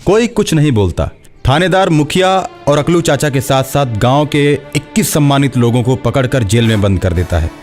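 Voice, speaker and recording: A man's voice: medium pitch, animated, normal speed, darkish tone, soft background music. A man narrating a scripted horror story.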